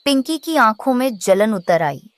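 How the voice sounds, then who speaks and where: Casting: female story narrator, slightly high pitch, scripted delivery. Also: sing-song, unhurried, dry recording, studio quality.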